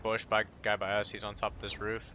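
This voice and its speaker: A man with a fairly low voice talks casually to teammates during a shooter game. His callouts are terse and profane, and there is clear game noise behind him. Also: completely flat delivery, fast, bright, forceful tone, dull audio. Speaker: video game player.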